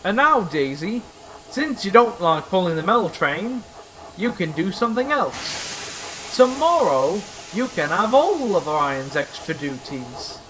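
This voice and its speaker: A man's voice: high-pitched, sing-song, slow pace, heavily acted. A man playing a stern but fair railway controller in an audio drama, formal and authoritative. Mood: firm. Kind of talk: audio drama character reading. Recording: clearly audible background hiss.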